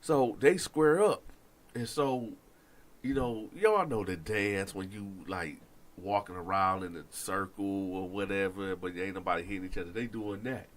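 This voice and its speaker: A young man with a stuffy nose telling a prison story in a casual, animated way, talking straight to his listeners. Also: deep voice, a little slow. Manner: animated, addresses listeners directly, slangy.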